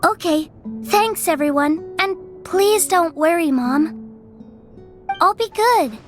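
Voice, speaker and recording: Frail little girl, high childlike voice, polite, earnest, game dialogue over music. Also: expressive, takes it slowly, heavily acted. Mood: reassuring. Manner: earnest, well-behaved. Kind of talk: video game voice acting.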